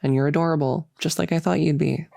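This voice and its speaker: A woman playing a playful, doting young cultist in an intimate roleplay monologue, chatty and halting as she teases and coddles. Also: low voice, fairly even intonation, gravelly, mellow, no room sound, clean studio sound. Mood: mock scornful, smug.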